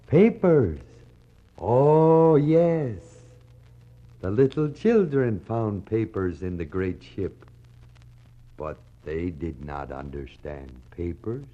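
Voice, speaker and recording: A man's voice: fairly low pitch, expressive. An actor playing an Inuit man solemnly recounting his fathers' tale in simple, wondering phrases, in a 1960s radio drama. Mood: wistful. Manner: slow, simple declarative storytelling with dramatic pauses. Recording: muffled 1960s radio recording.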